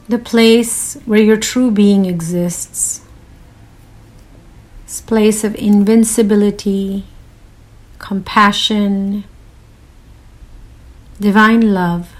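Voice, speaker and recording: A woman leading a guided meditation in a soothing, unhurried manner with long pauses. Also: medium pitch, darkish tone, poor audio.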